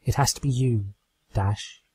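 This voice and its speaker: A man voicing a serious, rational female unicorn pony, firm but sorrowful, earnest and measured. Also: medium pitch, mellow, breathy edge, dry recording. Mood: grave.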